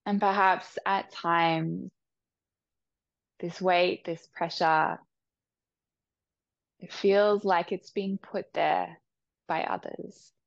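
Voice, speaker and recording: A woman leading a guided meditation with soft, soothing instructions. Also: lowish voice, fairly even intonation, vocal fry, dry recording, muffled recording. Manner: soft, slow, soothing instructions with long pauses.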